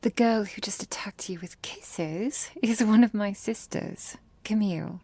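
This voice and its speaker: Woman acting soft, slow-spoken protective vampire girlfriend. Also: mid-range voice, breathy edge, no echo. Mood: gentle warning. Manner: tender, measured, slightly formal. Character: gentle protective vampire girlfriend, caring and apologetic. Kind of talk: intimate ASMR roleplay.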